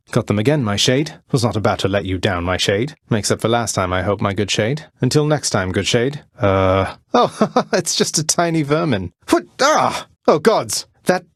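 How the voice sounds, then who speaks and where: An actor playing a cocky, courteous young prince of the underworld in a video game, with a dry, understated delivery. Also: male voice, fairly low pitch, dry recording. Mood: pleased, grateful, then startled, wary. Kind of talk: video game voice lines, short combat barks and dialogue.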